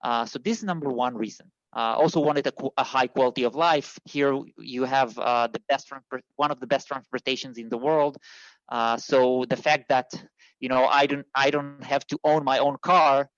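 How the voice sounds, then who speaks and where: Interview guest, man from Israel, mid-pitch, hesitant delivery full of fillers, dull close recording. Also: fairly even intonation, normal speed, no room sound. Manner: hesitant, many fillers and restarts. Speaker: guest, immigration consultant. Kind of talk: interview answer.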